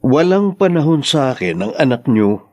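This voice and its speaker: An actor playing a resentful husband who has been drinking, complaining in a radio drama. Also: male voice, medium pitch, big pitch swings, moderate pace, mellow, no echo, close to an everyday voice. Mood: bitter, complaining. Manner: aggrieved. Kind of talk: Tagalog radio drama acting.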